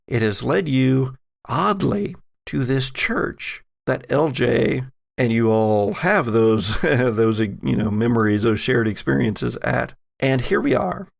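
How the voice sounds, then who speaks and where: A man's voice: mid-range voice, gravelly. A man narrating a tabletop horror game as game master, dramatic in his scene-setting with wry asides.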